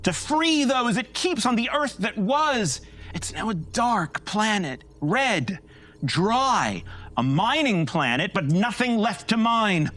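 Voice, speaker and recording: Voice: medium pitch, sing-song, slow, a bit bright, exaggerated voice. An actor playing a vain, grandiose male starship captain from the future in a live audio drama, with a commanding, theatrical manner. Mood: grandiose, defensive. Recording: live stage recording with music.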